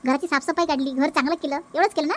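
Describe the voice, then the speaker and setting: A woman's voice: high-pitched, fairly even intonation, very fast, a little hiss or hum, lightly acted. A woman voicing a proud, determined young village wife in a family cartoon, assertive and emphatic, with a Vidarbha Marathi accent. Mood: defiant. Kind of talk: comic family cartoon dialogue.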